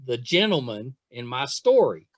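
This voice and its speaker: A man's voice: medium pitch, big pitch swings, unhurried, no room sound. A man hosting a casual interview podcast, chatting in an effusive, rambling, self-deprecating way. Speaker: podcast host.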